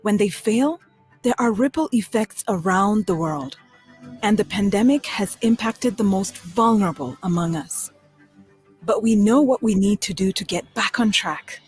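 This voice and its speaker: A woman giving earnest, measured scripted narration for an informational video. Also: medium pitch, lively intonation. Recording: music bed under narration.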